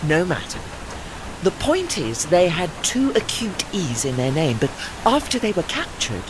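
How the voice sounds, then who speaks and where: An actor voicing a proud, ageing warship in an audio play, with formal, dry, witty and faintly pompous diction. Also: male voice, high-pitched, huge pitch swings, unhurried, noisy background, exaggerated voice. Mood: dry, lofty.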